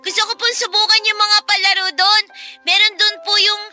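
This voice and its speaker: Very high, bright little girl. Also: fairly quick, soft background music, heavily acted. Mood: eager, pleading. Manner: excitable, giggly, coaxing, politely childlike. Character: eager, sweet, polite little daughter, young child. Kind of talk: Filipino radio drama acting.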